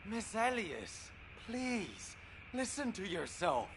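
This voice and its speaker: A man's voice: sits high, very animated, slow, background noise, heavily acted. An actor playing a worried young man who pleads emotionally and with exasperation in a video game drama. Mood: exasperated, hurt.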